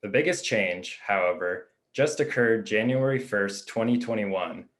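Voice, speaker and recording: Casting: male explainer, somewhat deep, scripted panel delivery. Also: a little slow, fairly bright tone.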